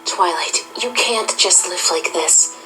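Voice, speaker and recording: Woman as a gentle, clear-bright pony aunt princess. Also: on the low side, slow pace. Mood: concerned. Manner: soft-spoken, careful, consoling. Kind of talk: fan audio drama acting. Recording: music behind the voice.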